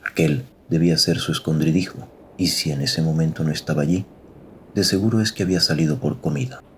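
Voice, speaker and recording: A man's voice: very deep voice, fairly even intonation, a little fast, slight background noise. A man narrating a scripted fiction story as a dramatic narrator.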